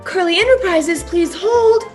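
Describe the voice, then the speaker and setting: Switchboard secretary, female actor, very high-voiced and harried, radio comedy, echoey with music behind. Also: measured pace. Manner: repetitive clipped telephone greeting. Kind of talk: old-time radio comedy acting.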